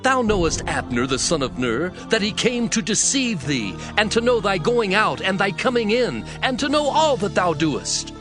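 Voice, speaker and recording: A man's voice: high voice, very animated, moderate pace, hardly any pauses. A man voicing an angry, confrontational army captain in a dramatized scripture recording, accusing the king. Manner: accusing, reproachful. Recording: music bed under voice.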